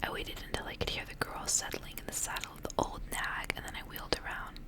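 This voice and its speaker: A woman doing ASMR, reading a story aloud in a soft, breathy whisper. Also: quick pace.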